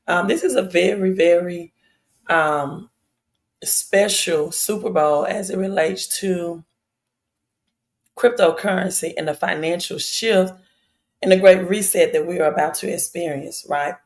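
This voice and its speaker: A woman hosting a casual live stream, her voice raspy and hoarse, addressing viewers directly and repeating phrases for emphasis. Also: lowish voice, unhurried.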